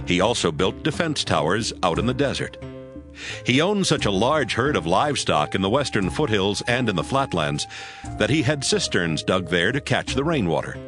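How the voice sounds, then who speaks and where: Low-pitched male scripture narrator, expressive intonation. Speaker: audio Bible narrator. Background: music.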